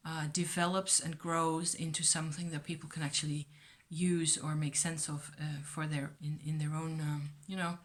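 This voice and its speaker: A woman whose first language is Dutch, chatting casually to her viewers in a rambling, reflective way, full of ums, uhs and restarts. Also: low-pitched. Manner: rambling, hesitant, many fillers and restarts. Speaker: vlogger. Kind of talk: casual rambling chat to camera.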